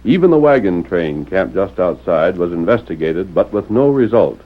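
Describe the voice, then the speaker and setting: A man's voice: sits low, very animated, normal speed. A man narrating an old-time radio western as announcer, in a formal, dramatic scripted style. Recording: muffled old radio recording, faint music.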